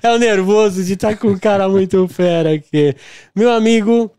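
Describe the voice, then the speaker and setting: A male podcast host with a fairly high, mellow voice. He talks eagerly and jokes. His words come through clearly on a dry, close studio mic. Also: no echo. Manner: enthusiastic, joking, quick asides. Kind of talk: casual podcast interview.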